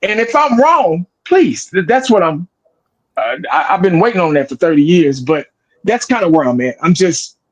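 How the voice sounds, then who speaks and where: Man, forties, sports creator; slightly high voice, blunt and profane, rambling delivery with restarts. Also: big pitch swings, normal speed, poor audio. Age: 40s. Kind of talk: casual podcast chat.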